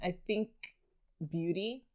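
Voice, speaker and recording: A woman's voice: mid-range voice, takes it slowly, a little mellow. A young adult woman co-hosting a casual podcast chat, chatty and giggly, with lots of fillers. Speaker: podcast co-host.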